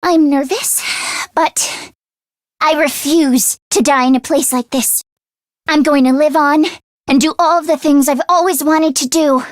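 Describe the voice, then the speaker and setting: A woman voicing an anxious, earnest teenage girl mechanic in a video game, with an expressive, hesitant delivery. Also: high-pitched, a little slow, no echo, clean studio sound. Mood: scared, nervous, then resolute. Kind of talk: English video game voice acting, isolated voice lines.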